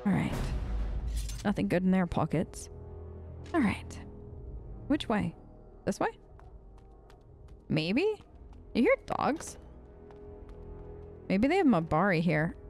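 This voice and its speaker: A woman's voice: medium pitch, sing-song, slow pace, vocal fry, pauses often, a little mellow, faint music behind. A woman doing casual, improvised role-play commentary on a game, flippant and chatty, joking in character. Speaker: let's play host.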